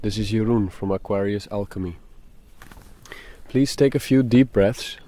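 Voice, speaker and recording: A man leading a guided meditation, speaking in a deliberate, halting way with pauses. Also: on the low side, mellow, no room sound.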